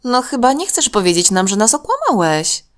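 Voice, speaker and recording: A woman's voice: on the high side, very animated, normal speed, voice pushed up. A woman voicing a caring, outspoken little girl in a children's story, direct and emotive. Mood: indignant.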